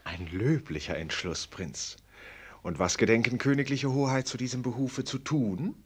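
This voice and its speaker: A man's voice: mid-range voice, normal speed, husky, natural voice. A man acting a knowing, sardonic commentator in a radio play, with ironic, teasing, rhetorical delivery. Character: sardonic knowing male commentator needling a prince.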